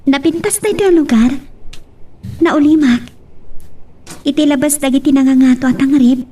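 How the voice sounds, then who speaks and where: A woman playing a chatty, outgoing young woman in an Ilocano radio drama, with expressive, drawn-out phrasing. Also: high voice, natural voice.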